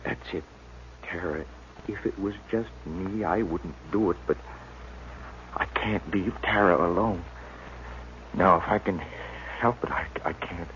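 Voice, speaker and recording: Deep-voiced, feverish, dying settler. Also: male voice, a bit bright. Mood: reluctant resolve. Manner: halting, resigned. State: weak, feverish, as acted. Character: gravely ill, stoic frontier settler father. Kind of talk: 1950s radio western acting. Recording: dull, faintly noisy vintage radio recording.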